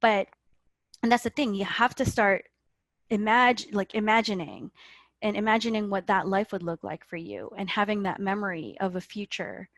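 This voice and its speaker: A woman's voice: mid-range voice, animated, moderate pace, a bit bright, no echo. A woman coach speaking earnestly in a dry, close recording. Her voice sits in a middle register, and she keeps asking "right?" as she explains. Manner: earnest, often tags sentences with "right?". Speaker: guest life coach. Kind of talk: motivational interview talk.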